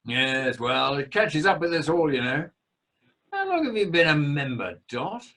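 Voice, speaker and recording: A man playing an affable, evasive luvvie theatre director in an amateur radio play, husky and apologetically halting. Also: fairly high pitch, big pitch swings, fairly bright tone, exaggerated voice. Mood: apologetic. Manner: stammering, apologetic, luvvie endearments.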